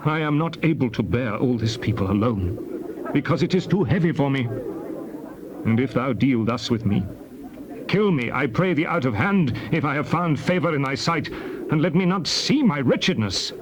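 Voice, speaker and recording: An actor playing a burdened prophet in a scripture drama, declaiming earnestly. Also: male voice, mid-range voice, lively intonation, normal speed, lightly acted. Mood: anguished pleading. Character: Moses, burdened male prophet pleading with God. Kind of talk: dramatized scripture reading in archaic English. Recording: faintly hissy old tape.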